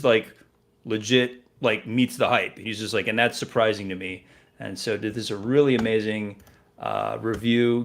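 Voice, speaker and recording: A man co-hosting a casual online music radio chat, upbeat and often pausing with "uh" and "um". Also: mid-range voice, creaky, a bit bright. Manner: upbeat, hesitant with "uh" and "um". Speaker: co-host.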